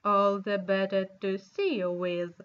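A woman voicing a cunning male wolf in a storybook reading, sweet-talking and scheming in a lowered voice. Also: little pitch movement, takes it slowly, muffled recording, lightly acted. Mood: sly.

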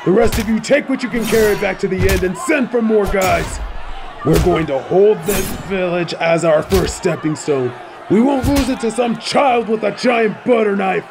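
A man's voice: high voice, moderate pace, creaky, a crowd in the background, background noise. A man voicing a brash, menacing wolf bandit leader in an audio roleplay, taunting and swaggering as he orders his gang about. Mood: sneering menace. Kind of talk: scripted fan audio roleplay acting.

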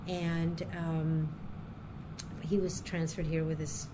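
A middle-aged woman with a fairly low voice recalls her life in a relaxed, rambling way, full of small fillers and restarts. The recording carries a clear, steady background noise. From Puerto Rico, raised near Chicago. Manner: unhurried, chatty, with many ums and restarts. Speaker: interviewee, university diversity director. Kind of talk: oral history interview.

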